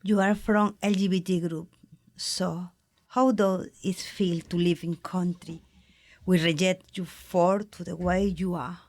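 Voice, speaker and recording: A woman conducts a podcast interview, putting questions gently in slightly non-native English. Her voice sits a little low. The sound is dry and close, with no room echo. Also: level tone, a little slow.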